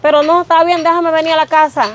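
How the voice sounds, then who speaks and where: A woman plays a wronged, aggrieved neighbor. She talks high and fast, with a faint hiss of outdoor noise behind her. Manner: quick, aggrieved, animated. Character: upset female neighbor wronged over a loan.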